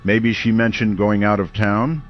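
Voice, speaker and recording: A man playing a calm, measured late-night radio call-in host. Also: on the low side, dull audio, soft background music, natural voice.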